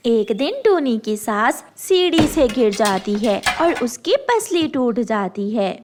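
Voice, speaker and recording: A woman's voice: on the high side, very animated, slow, noisy background. A woman narrating a children's cartoon story in a scripted storytelling style.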